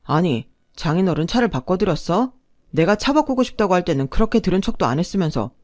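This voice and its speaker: A woman's voice: on the low side, sing-song, fast, darkish tone. A woman voicing a resentful young husband who complains defensively. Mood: aggrieved. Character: sulky resentful husband, young adult man.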